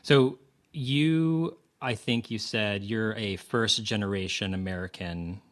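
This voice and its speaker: A male interview host with a low voice who speaks unhurriedly. He keeps his questions calm and encouraging and murmurs along while his guest talks. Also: slow.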